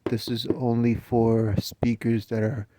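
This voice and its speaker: A man's voice: mid-range voice, completely flat delivery, unhurried, mellow. A man casually walking through an unboxing of a speaker stand, explaining each part as he goes and correcting himself along the way. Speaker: DJ reviewing gear.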